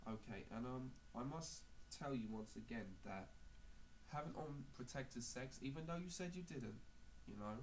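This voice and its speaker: A man putting on a calm clinic doctor for a prank phone call. He speaks politely and haltingly, with a careful clinical tone at a middling pitch, over faint background noise. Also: lightly acted. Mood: calm, official. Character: calm male clinic doctor giving awkward bad news. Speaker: prank caller. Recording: noisy amateur phone-call recording.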